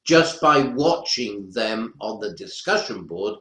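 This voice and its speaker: A man's voice: fairly low pitch, lively intonation, takes it slowly, sharp tone. A man with a North Welsh accent, explaining his teaching practice as a lecturer on an online webinar panel. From North Wales. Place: indoor room, online webinar. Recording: roomy echo on webinar audio.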